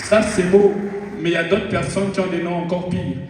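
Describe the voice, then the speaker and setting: Brief: young Congolese stand-up comic with a Congolese French accent, high-pitched and teasing, heard from a distance in a big hall. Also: male voice, level tone, brisk, a crowd in the background, noisy background. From Republic of the Congo. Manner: playful, teasing the audience, mimics voices. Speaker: stand-up comedian. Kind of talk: live stand-up routine. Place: large hall on stage. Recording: echoey hall mic from far off.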